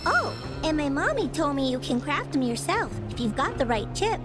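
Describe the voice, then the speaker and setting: A woman's voice: high voice, very animated, normal speed. A woman voicing an earnest small child with a simple, childlike way of talking. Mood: earnest, eager. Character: earnest small child looking for a lost pet bird. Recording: music behind the voice.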